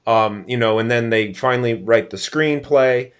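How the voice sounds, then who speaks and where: A man's voice: medium pitch, moderate pace, smooth. A man interviewing in a conversational way, phrasing his questions tentatively and in a roundabout manner.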